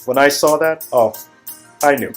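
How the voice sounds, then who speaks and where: A man's voice: fairly high pitch, moderate pace, faint music behind, lightly acted. A man playing a cocky, joking young Hollywood talent agent in a hammy, improvised comedic dialogue. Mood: boastful, teasing. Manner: joking, hammy, repeats phrases for effect.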